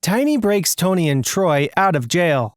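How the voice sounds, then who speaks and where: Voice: mid-range voice, very animated, unhurried, no room sound, studio quality. A narrator reading a scripted children's mystery story.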